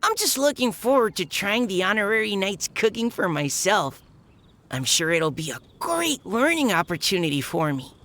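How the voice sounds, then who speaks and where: A woman's voice: medium pitch, sing-song, moderate pace, fairly bright tone. Woman playing an earnest young knight recruit. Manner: earnest, formal.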